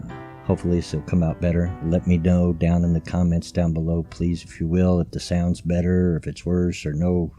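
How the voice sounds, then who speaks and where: A man's voice: deep voice, mellow. An older man, rambling folksily through casual, grumpy commentary recorded at home late at night. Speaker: vlogger. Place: home at night, quiet room.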